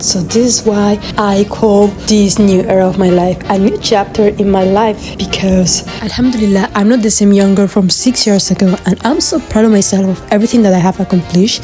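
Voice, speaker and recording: A young woman giving a calm, affirming voice-over with list-like phrasing. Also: mid-range voice. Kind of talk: reflective intro voice-over.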